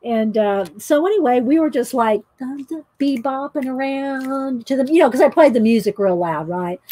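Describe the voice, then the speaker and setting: A woman's voice: high voice, animated, moderate pace, warm tone, dry recording. A middle-aged woman, chatting and laughing often as she tells a story while painting. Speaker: painting vlogger. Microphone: overhead camera mic, speaker off screen.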